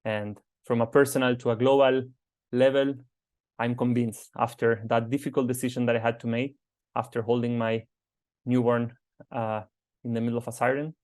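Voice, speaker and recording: A man with a foreign accent sharing a personal story on a conference call, earnest and halting, with many fillers. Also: mid-range voice, flat delivery, darkish tone. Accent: non-native English. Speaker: advocacy team member. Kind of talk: personal testimony on a webinar. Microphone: conference call microphone.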